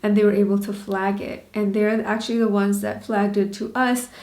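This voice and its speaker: A woman's voice: mid-range voice, level tone, moderate pace, darkish tone. Woman, 30s, from Bangladesh and Canada, earnest candid vlog talk, echoey room. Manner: earnest, questioning, frequent small laughs. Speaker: vlogger.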